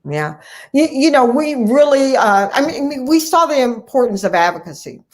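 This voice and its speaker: A woman's voice: high-pitched, sing-song, moderate pace. An American woman, a physician guest, giving earnest, emphatic and conversational answers in an interview. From United States, Ohio. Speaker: physician guest and medical association leader.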